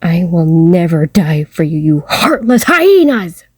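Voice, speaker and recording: A woman's voice: on the low side, big pitch swings, a little slow, darkish tone, heavily acted. A woman playing a defiant, feisty young prisoner in an audio drama. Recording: clear background noise.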